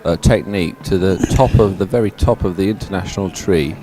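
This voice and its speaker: Mellow low male sports co-commentator. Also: fairly quick, a little hiss or hum. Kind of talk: live race commentary and results announcing.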